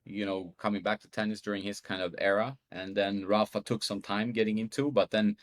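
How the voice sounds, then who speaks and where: Easygoing man, low voice. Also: level tone, a little fast. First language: Swedish. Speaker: guest. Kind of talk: casual chat.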